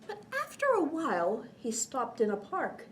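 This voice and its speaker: A woman's voice: high-pitched, big pitch swings, moderate pace, slight background noise. A woman reading a children's picture book aloud expressively, in a sing-song way with chatty little asides. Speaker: children's storytime reader.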